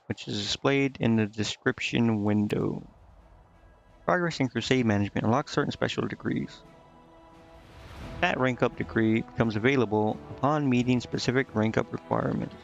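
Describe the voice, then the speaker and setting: Man, game streamer, fry-heavy voice, casual think-aloud commentary, halting muttering, slightly lo-fi mic. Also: mid-range voice. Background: game music.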